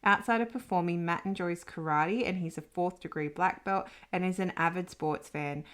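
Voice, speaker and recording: A woman's voice: fairly low pitch, normal speed, a bit bright. A woman hosting an interview podcast, introducing and chatting with her guest. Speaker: podcast host.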